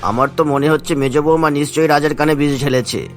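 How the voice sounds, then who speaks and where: A man's voice: fairly high pitch, fairly even intonation, a little fast, faint music behind, natural voice. A man voicing a disgruntled middle-aged family father in a cartoon.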